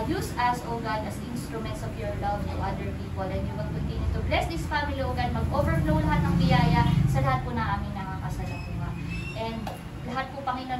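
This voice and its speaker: A woman's voice: on the high side, distant mic. Young woman praying earnestly in an echoey room. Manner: earnest, devotional, steady cadence. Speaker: family member leading a prayer. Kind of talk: spoken prayer mixing English and Tagalog. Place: small home kitchen, indoors. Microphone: handheld mic, filmed by phone from across the room. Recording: roomy echo, voice off-mic, loud background noise.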